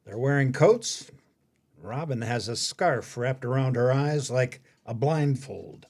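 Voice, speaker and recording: A man reading scripted announcements and stage directions as a narrator, in a formal, measured way. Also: mid-range voice, a little mellow.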